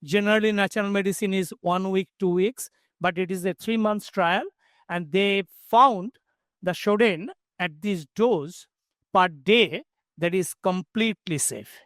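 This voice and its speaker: Male expert panelist, high-pitched, halting emphatic explanations, dry acoustic. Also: fairly bright tone, no room sound. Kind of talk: explanatory webinar answers.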